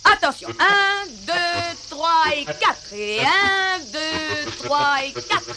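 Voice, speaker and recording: A woman playing a fussy, excitable grown-up looking after children in a dubbed cartoon, theatrical and exclamatory. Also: high-pitched, very slow, exaggerated voice. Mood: bossy, brisk. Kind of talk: animated cartoon dub acting. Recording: noisy old cartoon soundtrack.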